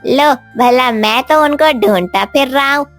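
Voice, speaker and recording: Woman as a squeaky, bright young monkey. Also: a little slow, faint music behind. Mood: eager, nagging. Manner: eager, insistent. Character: loyal, earnest, chatty young male monkey.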